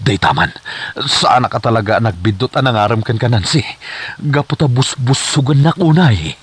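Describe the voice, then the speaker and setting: A man's voice: medium pitch, lively intonation, moderate pace, breathy edge. A man playing a scolding, sarcastic father in an Ilocano comedy drama, with an Ilocano accent and theatrical nagging delivery. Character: exasperated, scolding father of an ambitious daughter. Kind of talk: Ilocano comedy radio-style drama acting.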